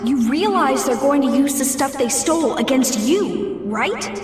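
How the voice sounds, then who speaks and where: A woman's voice: high-pitched, animated, unhurried, darkish tone. An actress playing a dry, knowing, matter-of-fact female ally in a video game. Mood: wry, concerned. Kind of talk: video game cutscene acting. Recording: distant, echoey hall, faint noise.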